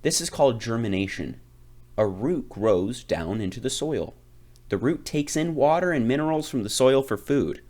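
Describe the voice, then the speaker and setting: A man reading a children's picture book aloud, phrasing it deliberately and with expressive emphasis. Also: mid-range voice. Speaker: read-aloud reader.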